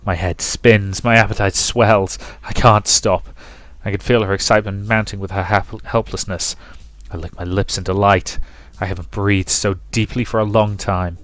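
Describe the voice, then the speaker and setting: A man voicing a seductive, obsessive male incubus in a visual novel, hushed and savouring each word. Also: lowish voice, steady tone, normal speed, few pauses, dry recording, natural voice. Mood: ravenous, savouring. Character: seductive ravenous male incubus, breathy, gloating, obsessive lover. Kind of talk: dramatic visual novel reading.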